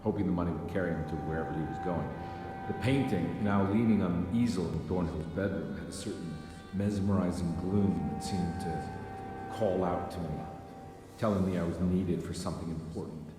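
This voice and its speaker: A low-pitched, world-weary detective played by a male actor in a noir video game, brooding and heavy with guilt. He speaks haltingly, with music behind his deep voice. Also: close to an everyday voice. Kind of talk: noir narration and dramatic game dialogue.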